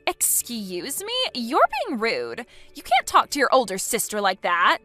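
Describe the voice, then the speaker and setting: A woman's voice: a little high, sing-song, unhurried, pushed and bright, soft background music, heavily acted. A woman voicing a selfish, entitled young woman who reacts with whiny, indignant outbursts.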